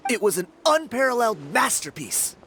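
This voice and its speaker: A talkative young tribesman in a game, with a fairly high voice and lively intonation. He is earnest and emphatic, a reassuring friend, with soft music behind. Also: moderate pace. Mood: sympathetic, dramatic. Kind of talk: video game character acting.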